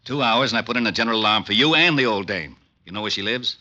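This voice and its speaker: A gruff police lieutenant, grumbling and exasperated in a sharp, forceful voice. It is a 1940s radio drama. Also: male voice, lowish voice, quick pace, dull audio.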